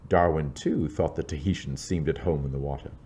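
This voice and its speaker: A man reading scripted narration aloud in a measured, scholarly, documentary-like way. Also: extremely low pitch, fairly even intonation, dark tone, breathy edge.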